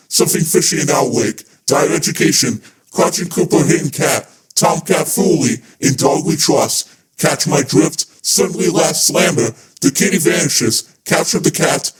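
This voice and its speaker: A man hosting a fan podcast, reading scripted narration. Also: fairly high pitch. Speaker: podcast host.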